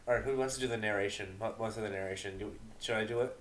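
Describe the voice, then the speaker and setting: A man's voice: on the low side, fairly even intonation, very fast, a bit bright, reverberant, slight background noise. A man chatting casually as a host, rambling and poking fun at himself. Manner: rambling, self-deprecating. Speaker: host and reader of a dramatic reading. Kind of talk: casual chat.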